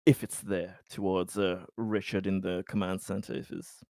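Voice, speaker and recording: A man's voice: sits low, steady tone, moderate pace, gravelly, mellow, no echo, studio quality. A man playing a tabletop game, chatting casually and often searching for his words. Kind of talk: casual chat during a roleplaying game.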